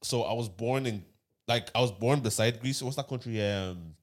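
A man's voice: medium pitch, normal speed. A man co-hosting a casual podcast, telling a self-conscious story with a lot of laughter. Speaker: podcast co-host.

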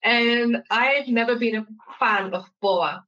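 A woman's voice: on the high side, a little slow, sharp tone, poor audio. A woman hosting a food podcast, chatty and friendly, asking questions with short eager agreements. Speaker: podcast host. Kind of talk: casual interview.

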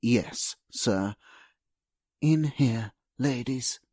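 An actor playing a meek, formal and very polite household butler in a 1940s style radio drama. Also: male voice, mid-range voice, extremely slow, dark tone, husky, dry recording, studio quality. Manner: servile, polite, hesitant.

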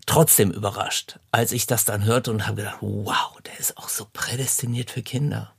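A man's voice: lowish voice, fairly even intonation, moderate pace, gravelly, slightly rough, no room sound, clean studio sound. A man acting as interviewer, chatting and asking questions in a casual conversational style.

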